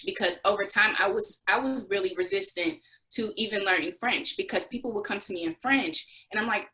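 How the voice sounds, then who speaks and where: A young American woman in a conversational interview, with a middle-pitched voice. She recounts her experiences as a former volunteer and slips into quoted dialogue in an animated way. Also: rough recording. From United States. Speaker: interview guest, former Peace Corps volunteer.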